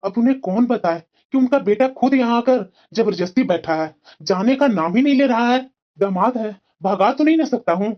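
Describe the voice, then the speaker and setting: A weary, crafty old father-in-law from a cartoon acted by a woman, pitched fairly high for the part. He coaxes plaintively in a muffled, lo-fi recording. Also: lively intonation, moderate pace, lightly acted. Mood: relieved scheming. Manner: plaintive, coaxing. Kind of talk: Hindi moral-story cartoon acting. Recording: dull lo-fi sound.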